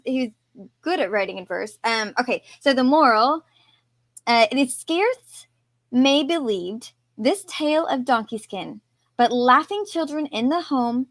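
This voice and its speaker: High-voiced woman, giggly podcast co-host. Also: fairly bright tone, no echo, clean studio sound. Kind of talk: casual podcast discussion.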